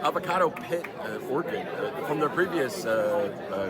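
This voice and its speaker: Hesitant man amid very loud crowd. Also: mid-range voice, animated, moderate pace. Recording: very loud crowd noise behind.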